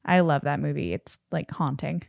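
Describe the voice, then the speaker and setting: A woman co-hosting a podcast in casual chat, playful and quick to laugh. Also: deep voice, expressive, creaky, darkish tone, no echo. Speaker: podcast co-host.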